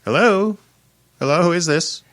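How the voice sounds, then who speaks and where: An actor playing an eager young detective's assistant in an old time radio mystery, chatty, animated and wheedling. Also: male voice, a little high, moderate pace, background noise, lightly acted. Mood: brisk, questioning.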